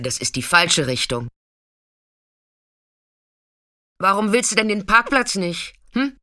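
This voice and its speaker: A woman's voice: mid-range voice, bright, forceful tone, dry recording, studio quality. An actress playing a tough, defiant street sex worker, telling her story in a blunt, colloquial radio drama monologue. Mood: alarmed, agitated. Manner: colloquial, blunt, swinging from intimate recollection to agitated outbursts.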